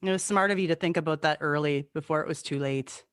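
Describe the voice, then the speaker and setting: A woman's voice: deep voice, fast, clear, resonant tone, dry recording, studio quality. A woman hosting a podcast, chatting informally and jokingly as she interviews a guest. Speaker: podcast host, singer. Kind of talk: casual interview chat.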